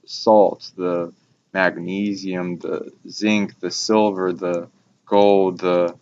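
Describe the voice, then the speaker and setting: A man with a very low voice talks in long, wandering sentences. His delivery stays quite monotone, in the style of an informal spiritual talk. The recording is muffled and lo-fi. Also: takes it slowly. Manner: rambling, long run-on monologue. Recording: dull lo-fi recording with background noise.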